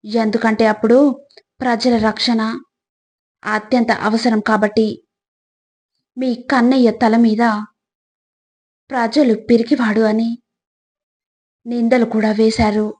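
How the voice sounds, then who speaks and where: A woman reads scripted motivational reflections in a measured way, addressing the listener directly like a narrator of life lessons. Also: fairly high pitch, flat delivery, darkish tone.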